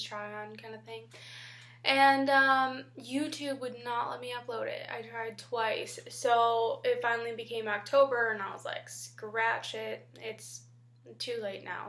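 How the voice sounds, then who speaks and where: A woman's voice: on the high side, fairly bright tone, echoey room. Stuffy-nosed chatty young woman vlogger. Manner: chatty and rambling, many ums. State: stuffy nose from a head cold. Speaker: beauty vlogger. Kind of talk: casual makeup chat to camera.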